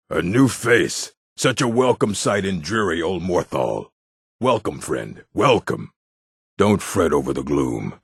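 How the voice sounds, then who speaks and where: A rumbling, very low-pitched male orc for a fantasy game. The voice is husky and full of gravel, swinging widely in pitch. He delivers his words in a blunt, weighty way. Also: no room sound, studio quality, exaggerated voice. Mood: jovial, theatrical. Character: gruff adult male orc of a fantasy stronghold. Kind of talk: fantasy video game character dialogue.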